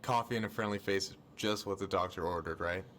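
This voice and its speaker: A man's voice: deep voice, quick pace, fairly bright tone, slight background noise. A man playing a laid-back, supportive young American friend in a video game, colloquial and reassuring. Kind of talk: naturalistic video game dialogue.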